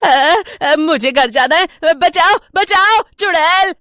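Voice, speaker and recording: Cartoon friend played by a woman: anxious, fretful housewife, high-pitched, dry recording. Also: lively intonation, slow pace, sharp tone, dry recording, full character voice. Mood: panicked. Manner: worried, fretful. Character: anxious housewife friend, wary and easily frightened. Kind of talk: Hindi cartoon storytelling.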